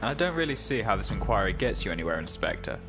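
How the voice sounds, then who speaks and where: A man's voice: fairly low pitch, big pitch swings, quick pace, music in the background. A man playing a self-assured, defensive man who is dismissive and assertive, in an amateur radio drama.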